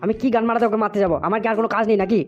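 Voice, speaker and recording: A woman's voice: mid-range voice, fairly even intonation, racing, a little mellow, natural voice. A woman voicing a grumpy, lazy, abusive father in a comedy cartoon, scolding and cursing. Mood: resentful, grumbling. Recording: muffled lo-fi audio with music.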